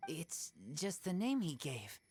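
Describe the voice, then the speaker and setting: Feral young boy, earnest, guarded, terse broken phrases, lowish lively pitch, video game. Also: faint music behind. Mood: solemn. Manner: halting, clipped broken sentences. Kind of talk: video game character dialogue.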